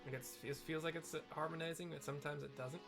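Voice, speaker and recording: Casual streamer thinking aloud over gameplay, rambling and self-deprecating, with quiet music underneath. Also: mid-range voice, steady tone, quick pace. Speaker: streamer playing a game.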